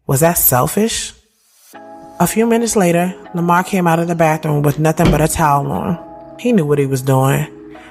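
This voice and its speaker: A woman in her thirties playing a sharp-tongued, moody woman who tells her own story in a candid, slangy audio drama. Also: low-pitched. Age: 30s. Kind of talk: first-person audio drama narration and dialogue. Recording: music bed behind the voice.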